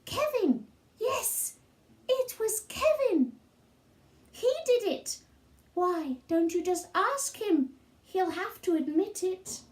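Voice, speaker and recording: A woman's voice: very high-pitched, animated, takes it slowly, long pauses, a little mellow, echoey room, pitched above the performer's own voice, exaggerated voice. A woman voicing a cheeky little boy in a storybook, earnest and wheedling as he fibs. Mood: excited insistence. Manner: wheedling, insistent, hesitant while inventing.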